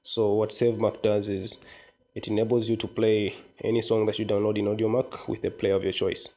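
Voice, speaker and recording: A man narrating a software tutorial in an informal, step-by-step explanatory way. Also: fairly low pitch, flat delivery, fairly quick. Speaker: tutorial presenter. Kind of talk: casual software walkthrough narration.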